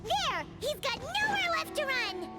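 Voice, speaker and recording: A woman voicing a tiny, excitable, childlike fairy companion in a video game, squeaky, cutesy and exclamatory. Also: measured pace, over music, heavily acted. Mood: excited.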